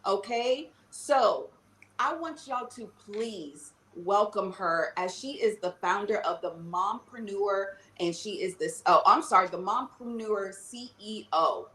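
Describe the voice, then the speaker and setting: A woman's voice: medium pitch, measured pace, fairly bright tone, reverberant. A woman hosting a podcast interview, bubbly and upbeat, often saying "okay" and "y'all". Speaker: podcast host.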